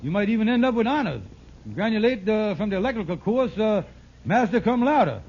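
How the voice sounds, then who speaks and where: A man's voice: high-pitched, sing-song, fairly quick, a little mellow, breathy edge, full character voice. An actor playing a glib, pompous con man who mangles big words in a 1940s radio sitcom. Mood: smooth-talking. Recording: muffled, noisy vintage radio recording.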